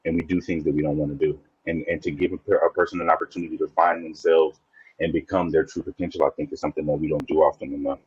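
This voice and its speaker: A man's voice: extremely low pitch, little pitch movement, quick pace, breathy edge, no room sound, muffled recording. A man guesting on a podcast, talking reflectively in a casual interview and often restarting his sentences. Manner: reflective, speaks in restarts and repeats.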